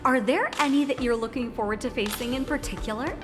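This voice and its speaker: A woman's voice: sits high, fast talker, lightly acted. A woman voicing a courteous young female interviewer in a game cutscene, formal with a slight teasing edge. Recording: reverberant mix with game music.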